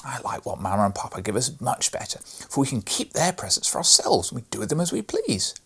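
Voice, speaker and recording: A man's voice: mid-range voice, very animated, a little fast, sharp tone, breathy edge, exaggerated voice. A man voicing a blunt, practical young boy in a storybook reading, speaking in a dismissive way.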